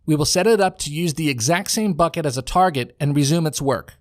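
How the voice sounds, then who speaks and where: An American man reading a scripted software tutorial as a voice-over narrator, in a measured, instructional way. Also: on the high side, lively intonation, no room sound, clean studio sound. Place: home studio.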